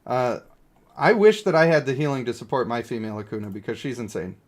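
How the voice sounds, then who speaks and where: A man doing casual live-stream game commentary, reading text aloud and thinking out loud in informal, slangy, sometimes profane language. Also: medium pitch, fast talker.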